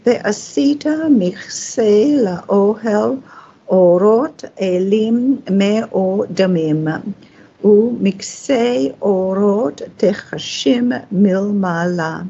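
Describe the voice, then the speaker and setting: A woman reading scripture aloud as a Torah reader, phrase by phrase in a careful, measured way. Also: medium pitch, warm tone, breathy edge. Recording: dull, lo-fi sound.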